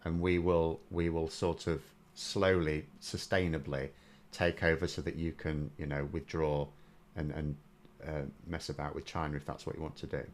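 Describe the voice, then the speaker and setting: A man's voice: a bass voice, little pitch movement, normal speed. A man hosting an interview, asking conversational questions and giving brief acknowledgements. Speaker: host, interviewer. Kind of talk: interview and channel sign-off.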